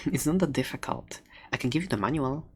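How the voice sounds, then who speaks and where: A woman playing a friendly, chatty fantasy shopkeeper in a soft ASMR roleplay, playful and hesitant as she rambles through her sales pitch. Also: expressive, fairly quick, slightly rough, full character voice. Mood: reassuring, playful.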